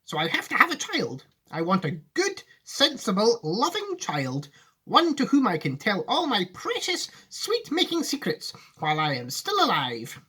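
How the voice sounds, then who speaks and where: A man reading a storybook aloud, voicing an excitable, commanding old factory owner in an emphatic manner. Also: sits high, normal speed, husky, pitched above the performer's own voice, full character voice. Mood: earnest. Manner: emphatic, exclamatory.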